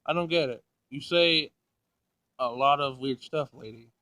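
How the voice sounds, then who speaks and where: A man's voice: medium pitch, unhurried, sharp tone, no echo. Visual novel child, read by a man: young girl, inquisitive and direct, simple childish phrasing. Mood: puzzled, eager. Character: curious, blunt seven-year-old girl.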